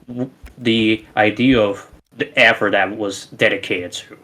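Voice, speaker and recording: A man's voice: lowish voice, steady tone, a little slow, bright, forceful tone, low-quality recording. A man with a Romanian accent, a musician guest on a casual podcast, talking in an emphatic, rambling way with many restarts.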